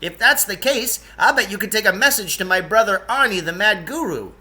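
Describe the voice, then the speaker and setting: Man putting on a pompous, forceful eccentric storybook guide. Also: sits high, animated, fairly quick, voice pushed up, exaggerated voice. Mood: eager. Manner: pompous, emphatic, florid. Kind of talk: storybook character voice.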